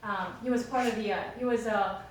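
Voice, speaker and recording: A woman's voice: medium pitch, fairly even intonation, brisk, slight background noise. A woman lecturer leading a classroom discussion, encouraging students and asking follow-up questions. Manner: encouraging, asks follow-up questions, laughs lightly. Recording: echoey classroom from far off.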